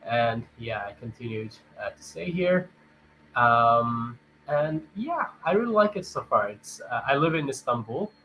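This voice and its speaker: A man's voice: mid-range voice, sing-song, unhurried, dull audio, slight background noise. A young man, born in Thailand and raised in Canada, chatting as an English tutor on a video call, friendly and hesitant with many fillers. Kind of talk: casual video-call chat. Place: home in a big city.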